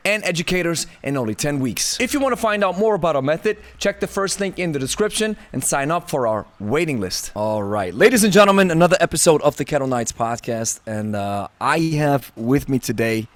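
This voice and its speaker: A man hosting a podcast interview, speaking in a friendly, halting way with many pauses. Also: on the high side, expressive, dry recording. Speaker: podcast host.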